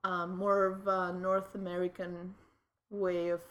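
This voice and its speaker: A woman's voice: fairly low pitch, level tone, measured pace, fairly bright tone. A young woman from Western Ukraine, a native Ukrainian speaker, talking candidly as a guest in a casual interview, with many fillers and restarts.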